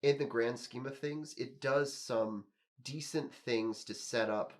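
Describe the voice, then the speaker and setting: A man's voice: mid-range voice, slow pace. A man hosting a podcast, casually giving his opinions and reasoning through them at length. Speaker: podcast host.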